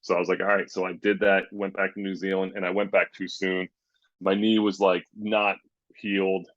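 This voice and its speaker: A man's voice: low voice, little pitch movement, moderate pace, a bit bright, dry recording. A man, a former pro basketball player, telling rambling stories in a casual interview, full of fillers and quoting himself. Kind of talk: casual storytelling. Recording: dull, dry lo-fi sound.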